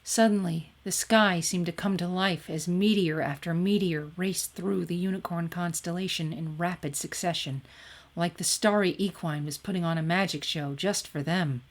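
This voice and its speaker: A woman narrates a story from a script. Her voice sits a little low, and she reads expressively as a storyteller. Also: normal speed. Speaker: fan fiction reader.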